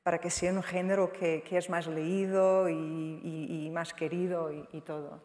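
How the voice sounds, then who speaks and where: A woman's voice: on the low side, little pitch movement, a little slow, darkish tone. A woman writer speaking on a panel, hesitant, repeating her words. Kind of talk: panel talk. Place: lecture hall. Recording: roomy hall reverb.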